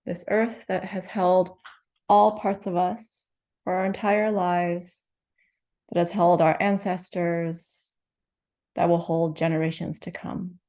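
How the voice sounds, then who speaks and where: A woman leading a guided meditation, speaking slowly and gently with soothing instructions and long pauses. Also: fairly low pitch, level tone. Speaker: meditation teacher.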